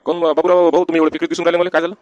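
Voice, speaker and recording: A man's voice: fairly high pitch, level tone, very fast, a little mellow, no room sound, lo-fi sound, natural voice. A man voicing a wily, wheedling village debtor in a comic cartoon, with a rural Varhadi Marathi accent and questioning grunts tacked onto sentences. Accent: rural Maharashtra, Varhadi. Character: wily village husband, evasive debtor sweet-talking the shopkeeper.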